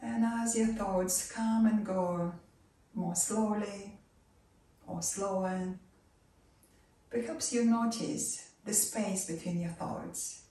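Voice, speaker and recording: A woman leading a guided relaxation and meditation, giving soft, calm instructions that sometimes drop to a near whisper. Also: medium pitch, takes it slowly, pauses often. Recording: roomy echo, voice far from mic.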